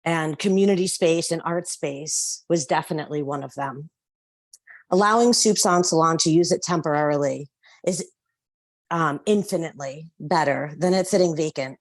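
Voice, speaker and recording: A woman with a loud voice calling in to make an earnest public comment at a council meeting. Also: low voice, no echo. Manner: earnest, measured advocacy. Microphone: phone or call-in line.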